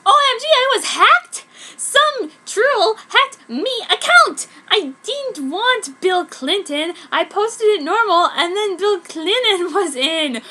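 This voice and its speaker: Woman plays petulant teen girl fanfic writer: very high, forceful, drawn-out, faintly noisy recording. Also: lively intonation, full character voice. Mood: whiny, furious. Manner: shrill, overdramatic, drawn-out words. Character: gushing, petulant teenage girl fanfic author.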